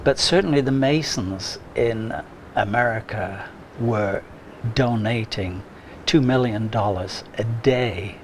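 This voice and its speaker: A man answering interview questions slowly and haltingly, with frequent uhs. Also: fairly low pitch, slight background noise. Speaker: guest, lodge member. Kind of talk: casual interview.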